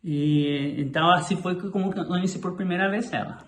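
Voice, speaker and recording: A man from Guatemala whose first language is Spanish, a missionary speaking in halting sentences with many restarts. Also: high voice. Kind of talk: casual personal testimony. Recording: echoey room.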